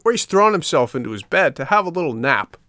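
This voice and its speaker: A man's voice: a little high, very animated, fast talker, sharp tone, dry recording, clean studio sound, voice pushed up. A man voicing mocking rascal schoolboys in a storybook, with a jeering, emphatic and bullying manner.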